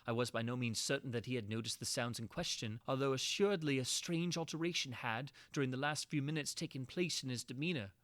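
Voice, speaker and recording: A man narrates classic horror fiction with formal, theatrical poise. His delivery is measured and literary, in a mid-pitched voice, recorded close and dry. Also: a bit bright, dry recording. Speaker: reader of classic horror tales.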